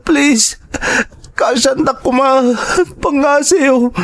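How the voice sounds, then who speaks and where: A man's voice: very high-pitched, fairly even intonation, slow pace, full character voice. A man playing a distressed, submissive Filipino son in a melodramatic audio drama, pleading and emotional. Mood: terrified, begging. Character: distressed, submissive Filipino son who is held captive abroad.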